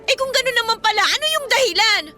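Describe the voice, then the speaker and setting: A woman's voice: extremely high pitch, lively intonation, fast talker, over music. A woman acting a desperate, imploring teenage student pleading with her boyfriend in an emotional radio drama. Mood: desperate, demanding.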